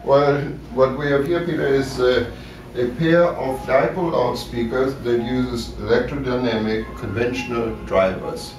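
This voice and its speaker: A man giving a measured technical explanation in an interview, checking that he is understood. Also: medium pitch, steady tone, darkish tone, slight background noise. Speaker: loudspeaker designer. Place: exhibit room at an audio show. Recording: echoey room, distant mic, music behind.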